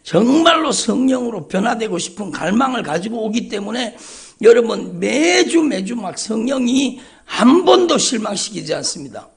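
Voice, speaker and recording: Fervent male pastor preaching, gravelly. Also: sits high, very animated, normal speed. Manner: emphatic, repetitive, calls out to congregation. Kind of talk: fervent Korean sermon at dawn service. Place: church sanctuary.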